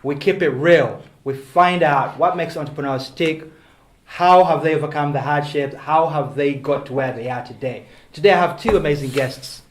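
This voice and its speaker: Guy hosting a podcast at a table, energetic, lots of yeahs, bit of echo. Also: a little high, normal speed. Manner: upbeat, lots of affirming interjections. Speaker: podcast host. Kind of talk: interview podcast. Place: meeting room at a round table.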